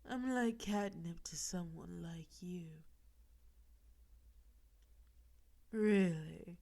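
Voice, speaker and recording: A woman's voice: on the low side, dark tone. A woman playing a flirty, menacing mafia boss in an audio roleplay, confident and smug, with a teasing, suggestive delivery. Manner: teasing, suggestive, slow deliberate delivery with breathy asides. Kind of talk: audio roleplay with gumshoe cliches.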